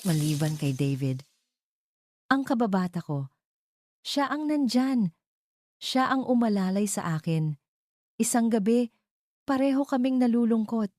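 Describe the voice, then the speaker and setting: A woman's voice: a little high, animated, a little slow, pauses often, a little mellow, no echo, voice pushed up. A woman voicing a remorseful young mother in an audio drama, pleading and trembling as she confesses past pain. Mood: grieving confession.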